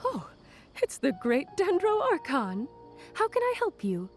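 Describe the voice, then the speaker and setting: A woman's voice: extremely high pitch, wildly swooping pitch, unhurried, soft background music, full character voice. A woman playing a polite, dutiful academy clerk in an anime game, courteous and businesslike. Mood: respectful, eager.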